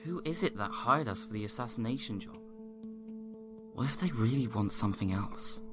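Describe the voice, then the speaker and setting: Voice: mid-range voice, animated, moderate pace, music in the background, lightly acted. A performer playing an earnest, guilt-ridden young agent in a fan audio drama, anxious but resolute, sighing and pleading. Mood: pensive.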